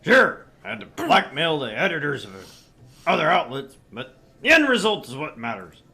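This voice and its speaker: Man acting a brash sleazy newsman, middle-aged, gravelly and forceful, pushy, swaggering, video game character. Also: fairly high pitch, big pitch swings, normal speed, exaggerated voice. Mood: boastful. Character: brash, crude, sleazy middle-aged male tabloid editor. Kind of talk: visual novel character read-through.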